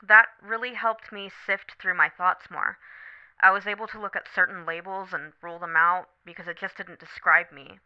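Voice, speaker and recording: A woman playing a supportive, candid best friend on a late-night call in an ASMR roleplay, talking casually, gently and thoughtfully. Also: on the low side, steady tone, moderate pace, smooth, lo-fi sound, lightly acted. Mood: reassuring. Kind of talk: scripted ASMR roleplay, one side of a phone conversation.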